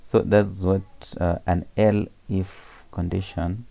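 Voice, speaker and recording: Male coding tutor, very low and gravelly, dark tone, talks through steps with frequent ums. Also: little pitch movement, takes it slowly. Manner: explains step by step with many fillers and self-corrections. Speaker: programming tutor.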